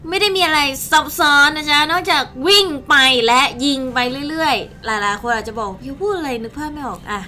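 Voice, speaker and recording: A young woman with a high-pitched voice gives casual gameplay commentary as a channel host. She talks in a chatty, informal way as she plays. There is clear noise in the recording. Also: animated, fairly quick, few pauses, pushed and bright. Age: young adult. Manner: chatty and informal, exclaiming in play. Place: indoor streaming setup at a desk.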